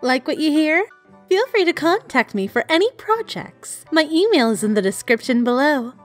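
A woman's voice: high-pitched, very animated, moderate pace, soft background music. A woman reading a scripted introduction as a voice actor presenting her demo reel. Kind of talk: scripted narration.

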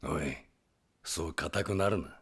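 An actor playing an older yakuza patriarch, paternal and cautious, with gruff authority, in a video game drama. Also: male voice, a bass voice, a little slow, a bit bright, slight background noise. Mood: fatherly.

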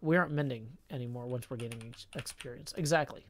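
A man's voice: mid-range voice, fast, a bit bright, a little hiss or hum. A man streaming live gameplay with a headset mic, chatting, reading viewer comments aloud and firmly shutting down rude ones.

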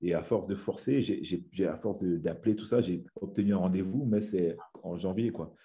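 A man's voice: deep voice, a little fast, warm tone. A man in his thirties from French Guiana, a fitness influencer chatting as a guest on a video-call podcast, restarting his sentences often. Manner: rambling with many restarts and fillers. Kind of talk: casual video-call interview. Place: small room at home. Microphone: webcam on a video call.

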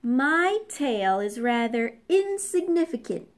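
A modest elephant from a children's storybook, acted by a woman. The voice is high and gentle, singing along at an unhurried pace. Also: sing-song.

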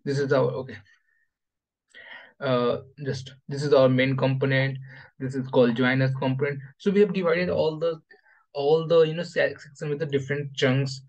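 Guy with a medium voice doing a casual coding tutorial, kinda halting, repeats himself a lot. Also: medium pitch, steady tone, darkish tone, poor audio. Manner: halting, repetitive phrasing with frequent "you know". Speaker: host of a coding tutorial channel. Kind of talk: informal screen-share tutorial narration. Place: voice-over for a screen recording.

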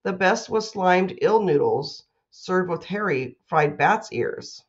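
A woman's voice: fairly low pitch, animated, slow, muffled recording. A woman, a school principal reading aloud and making announcements to children.

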